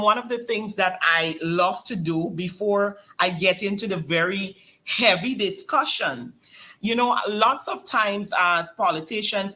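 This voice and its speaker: A woman hosting a talk show, asking polite, measured questions with plenty of fillers. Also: mid-range voice, pushed and bright, poor audio. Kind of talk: interview questions.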